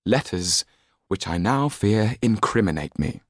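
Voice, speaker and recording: A man's voice: lowish voice, expressive, measured pace, no room sound, studio quality. A man voicing a desperate aristocratic Frenchwoman in an audiobook, pleading and warning with imploring emphasis. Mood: anxious confiding.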